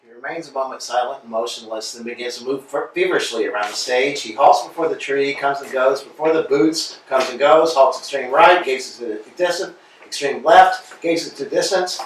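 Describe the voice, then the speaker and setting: A man reading stage directions aloud for a staged play reading, brisk and matter-of-fact, recorded in an echoey room from a distance. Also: medium pitch, slight background noise. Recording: echoey room, mic far off.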